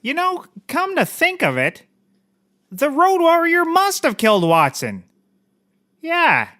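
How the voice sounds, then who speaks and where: Bright-voiced, rambling shameless private investigator played by a man. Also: extremely high pitch, huge pitch swings, slow pace, heavily acted. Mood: eager, rambling. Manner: rambling, casually confessing, oblivious. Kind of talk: scripted comedy courtroom drama acting.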